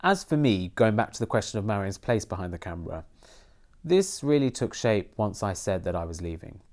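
A man's voice: fairly low pitch, darkish tone. A man from Iran whose first language is Persian, answering interview questions earnestly about acting in a film. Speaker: film protagonist, interview guest.

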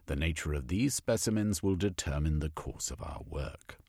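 A man voicing a supercilious male zoologist official in an audiobook, speaking in a haughty, measured way. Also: extremely low pitch, expressive, darkish tone, breathy edge, no echo, clean studio sound, heavily acted. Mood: grave.

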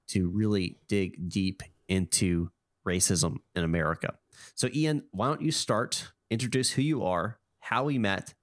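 A man with a cold hosts a podcast. His voice sits on the low side and he speaks in a calm, deliberate way. The recording is dry and close, with almost no room sound. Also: dry recording. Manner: measured, deliberate delivery. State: has a cold, sniffly. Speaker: podcast host. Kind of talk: scripted podcast intro then hosting a discussion.

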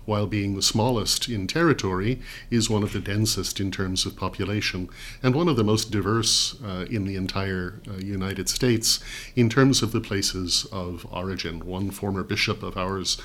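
A middle-aged man from New York, a guest telling stories in a measured, articulate way with dry humor. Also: sits low, fairly bright tone, slight background noise. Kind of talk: conversational storytelling.

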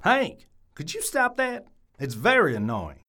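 A woman plays a bumbling small-town Southern man in a comic audio drama. The voice sits fairly low for a woman and swings widely as he blusters, defensive and evasive. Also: huge pitch swings, a little slow, a bit bright, a little hiss or hum, heavily acted. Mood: irritated, defensive.

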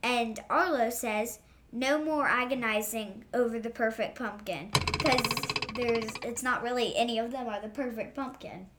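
A female podcast co-host chatting casually, describing things in a hesitant, halting way. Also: fairly high pitch, a bit bright, a little hiss or hum. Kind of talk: casual chat.

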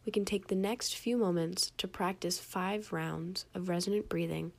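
A young adult woman reading a scripted guided meditation in a calm, gentle, measured way, with soft phrase endings. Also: lowish voice, creaky.

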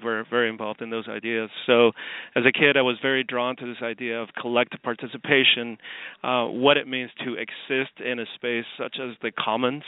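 A man who grew up in Chile, a guest on a call-in radio show, talking from his office in a reflective, halting way with many ums and restarts. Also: mid-range voice, clean tone, no room sound. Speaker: guest, artist and forum founder. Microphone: phone call. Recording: tinny phone line.

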